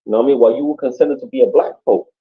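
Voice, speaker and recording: A man's voice: medium pitch, racing. A man from Puerto Rico talking casually and emphatically on a podcast, swearing often and checking in with his listeners. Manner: profane, emphatic, keeps asking "you know what I mean". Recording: muffled lo-fi audio.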